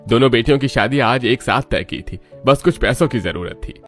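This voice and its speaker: A man's voice: mid-range voice, lively intonation, fairly quick, soft background music, natural voice. A man voicing a pushy, scheming middle-aged uncle in a Hindi cartoon story, insistent and persuasive. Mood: persuasive, indignant.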